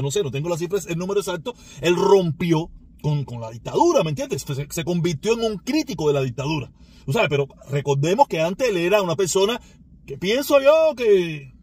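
A man's voice: sits high, darkish tone, breathy edge, dry recording. A man from Cuba with a Cuban Spanish accent, giving a rambling, emphatic opinion monologue that circles back and repeats itself. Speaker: commentator.

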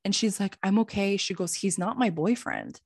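A young woman with a Canadian accent, telling a story casually in a blunt, sweary, animated way and acting out the dialogue. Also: mid-range voice, moderate pace, slightly rough.

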